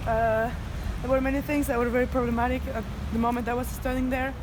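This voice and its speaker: Young woman, kinda high voice, lots of "like", traffic going by. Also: level tone, quick pace. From Mexico City. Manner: searching, lots of "like". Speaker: art student interviewee. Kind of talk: candid interview answers.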